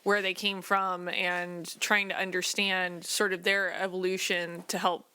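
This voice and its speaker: A woman's voice: lowish voice, flat delivery, a little slow, pushed and bright, smooth. A woman chatting casually about a game she plays, as one of a group. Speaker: tabletop game player. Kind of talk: casual chat.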